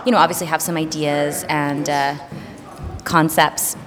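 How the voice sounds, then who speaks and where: Hesitant low-voiced woman, reverberant room. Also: level tone, unhurried, crowd noise. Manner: hesitant, many fillers. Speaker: choreographer. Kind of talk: interview.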